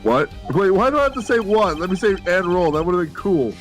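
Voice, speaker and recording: A man's voice: high voice, sing-song, fairly quick, soft background music, low-quality recording. A man chatting casually between game dub takes, joking at his own expense. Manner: joking, self-mocking. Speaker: voice actor, co-host.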